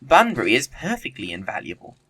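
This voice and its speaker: A man's voice: mid-range voice, wildly swooping pitch, brisk, a bit bright, husky, exaggerated voice. A man playing a flippant, witty young upper-class bachelor in a period comedy audio play, with an arch, theatrical delivery. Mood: smug, witty.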